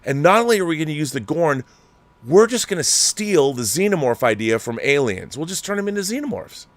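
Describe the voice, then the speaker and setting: A man's voice: mid-range voice, dry recording. A middle-aged man hosting a commentary show, ranting sarcastically and with exasperation. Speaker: show host.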